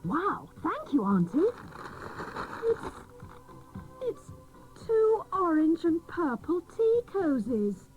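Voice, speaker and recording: A woman's voice: very high-pitched, big pitch swings, takes it slowly, long pauses, a little mellow, exaggerated voice. A woman playing a dotty, plucky detective, exclamatory and wide-eyed, in comic radio drama dialogue. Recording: muffled old cassette radio recording, faint music.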